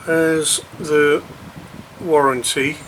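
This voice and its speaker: A man giving an unscripted, matter-of-fact unboxing commentary, halting as he thinks aloud. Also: on the high side, little pitch movement, fairly bright tone, rough recording. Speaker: radio hobbyist presenting an unboxing. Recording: noticeable background noise, crinkling packaging handling.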